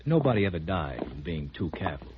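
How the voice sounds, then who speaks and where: Army security major: American, low, animated pitch, clipped and probing, 1950s radio drama with muffled sound. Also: male voice, quick pace. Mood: casually probing. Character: brisk, guarded army major interrogating a visitor. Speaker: military security officer. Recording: muffled, hissy old radio recording.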